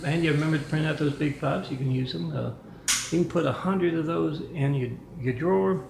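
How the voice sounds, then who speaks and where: Man, very gravelly voice, somewhat high pitch, rambling, echoey apartment room. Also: brisk, background noise. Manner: rambling, restarts often, reads out numbers and addresses. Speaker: vlogger. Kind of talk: rambling monologue to camera. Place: indoor apartment with a kitchen.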